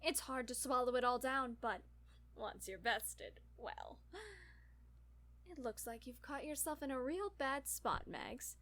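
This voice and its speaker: A woman's voice: high-pitched, measured pace, fairly bright tone. A woman playing a brash, playful spaceship captain with a theatrical manner in a scripted audio drama. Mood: gloating. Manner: dramatic, gloating, casual cursing.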